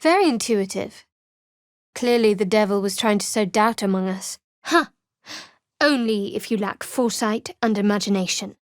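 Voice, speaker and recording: An actress playing a guarded young cleric of a dark goddess in a video game, with a dry, sardonic, clipped manner. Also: female voice, mid-range voice, normal speed, no echo, close to an everyday voice. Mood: dry, wry. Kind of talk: video game character voice lines.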